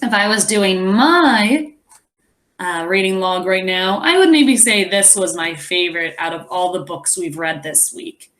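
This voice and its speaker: A woman reads a story aloud to children like a teacher. Her pitch moves in lively swoops while her pace stays slow and measured. She is expressive, stepping out of the tale to explain and wonder aloud. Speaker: teacher reading aloud to pupils. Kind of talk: animated children's read-aloud with asides.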